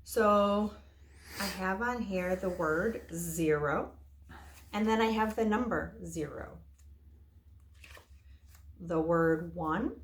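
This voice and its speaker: A woman's voice: on the low side, slow, pauses often. A woman teaching young children informally, explaining things simply in casual words. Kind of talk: informal children's lesson and story time. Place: indoor room. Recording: echoey room, faint noise.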